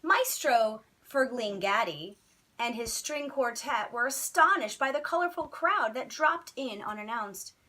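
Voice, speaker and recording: A woman reads a bedtime story to children in an expressive teacher's style. Her voice is bright, with lively rises and falls. She phrases dramatically and puts emphasis on key words. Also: a little high, a little slow.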